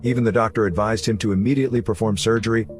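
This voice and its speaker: A man with a fairly low voice delivers scripted recap narration. He tells a story as a narrator, and music plays clearly underneath his voice throughout. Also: steady tone, rapid-fire. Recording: music running behind the voice. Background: background music.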